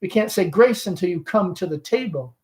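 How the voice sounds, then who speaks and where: A man's voice: high-pitched, animated, a little fast. A man voicing a chatty middle-aged Southern belle mother, genteel and fretful, with a gushing, rambling, theatrical manner, in a stage play reading. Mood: insistent.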